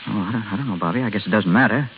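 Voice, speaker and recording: An actor playing an awkward, lovesick young husband in an old radio drama, hesitant and blurting, pleading and stubborn. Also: male voice, on the low side, very fast, close to an everyday voice. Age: young adult. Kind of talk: 1930s-40s American radio drama acting. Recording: scratchy, hissy old radio broadcast, phone-like.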